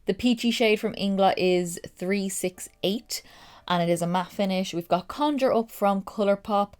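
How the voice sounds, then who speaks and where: A woman's voice: medium pitch, moderate pace, a little mellow, smooth. A young woman with a stuffy, nasal voice from a cold, chatting casually through a makeup tutorial. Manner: chatty, rambling, upbeat. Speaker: makeup vlogger.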